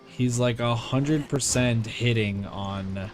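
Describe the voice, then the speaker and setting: A man's voice: medium pitch, slow. A man streaming a video game, commenting casually and jokingly as he reacts aloud. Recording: game music behind voice. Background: game music.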